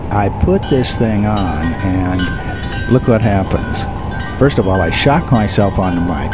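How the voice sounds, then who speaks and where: A man hosting an internet radio show in a soft radio voice, chatting in a playful, self-mocking way. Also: fairly low pitch. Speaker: radio host. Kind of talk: casual radio talk.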